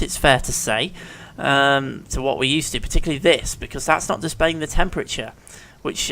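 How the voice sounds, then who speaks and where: Chatty gamer with a stuffy head cold, gravelly fry, talks at an everyday pitch while narrating his gameplay. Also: a bit bright, slight background noise. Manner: chatty, rambling, thinks aloud. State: congested with a cold, sore throat. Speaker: let's play commentator. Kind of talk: casual gameplay commentary. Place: living room at home.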